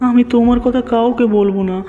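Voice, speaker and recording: Poor young villager male actor: high, timid, beseeching cartoon voice; muffled lo-fi track. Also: steady tone, quick pace. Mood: frightened pleading. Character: meek, anxious poor young village man, pleading. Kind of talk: Bengali cartoon voice acting. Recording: dull lo-fi sound, music underneath.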